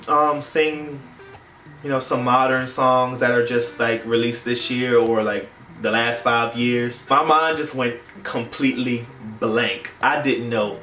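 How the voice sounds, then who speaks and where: Young adult American male vlogger, animated storytime delivery, roomy sound with faint music underneath. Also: mid-range voice. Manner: animated storytelling, acting out quoted dialogue. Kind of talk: casual storytime chat. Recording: roomy echo, distant mic, faint music.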